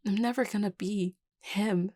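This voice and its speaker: A woman voicing an anxious, self-doubting young vampire leader in an audio roleplay, stammering hesitantly and often dropping to a breathy murmur. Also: medium pitch, fairly even intonation, a little slow, dark tone, dry recording, studio quality, natural voice. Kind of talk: intimate audio roleplay acting.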